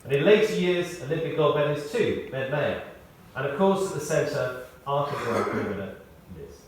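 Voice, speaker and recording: A man reading a prepared tribute aloud in short, measured phrases, with wry storytelling. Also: mid-range voice, expressive. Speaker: tribute speaker. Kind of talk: read-out memorial tribute with anecdotes. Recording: echoey hall, distant, faint noise.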